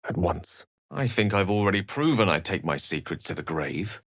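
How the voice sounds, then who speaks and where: A man's voice: low voice, big pitch swings, normal speed, fairly bright tone, no echo, studio quality, heavily acted. An actor playing an eloquent, learned wizard in a video game, articulate and bookish, wry and earnest in his florid phrasing. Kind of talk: scripted video game character dialogue.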